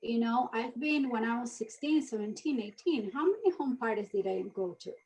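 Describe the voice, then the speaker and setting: A woman's voice: fairly high pitch, normal speed, rough recording. A woman from Venezuela in her thirties, speaking earnestly as an interview guest in a casual chat. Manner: earnest, animated storytelling. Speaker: guest, mission ambassador.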